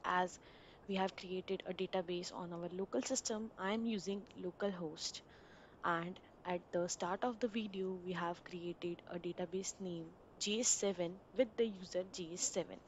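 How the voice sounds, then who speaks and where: A woman narrating a screen-recorded software installation walkthrough, giving plain step-by-step instructions over a desktop mic. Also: on the low side, fairly even intonation, normal speed, dull audio, a little hiss or hum.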